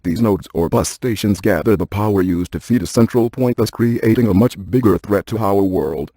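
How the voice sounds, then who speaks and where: An actor playing a brainy, cautious paranormal scientist in a video game, speaking in a deadpan, precise, technical manner. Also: male voice, on the low side, normal speed, no room sound. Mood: explaining, cautious. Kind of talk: scripted video game character lines.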